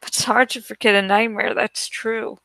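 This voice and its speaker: A woman reading a first-person novel aloud in an expressive, dramatic way. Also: a little high, normal speed, gravelly, no room sound. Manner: expressive, acts out the story. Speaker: audiobook reader.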